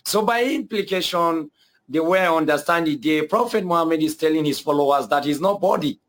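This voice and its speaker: A man's voice: on the high side, brisk, a bit bright. A young man with a Nigerian accent telling his own story earnestly, hesitating and repeating himself often. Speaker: guest telling his conversion testimony. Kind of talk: personal testimony.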